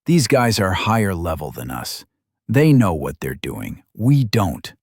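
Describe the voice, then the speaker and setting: Tired, defensive young male healer, low and dry, read by a man. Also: big pitch swings, measured pace, slightly rough, no room sound, full character voice. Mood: frustrated.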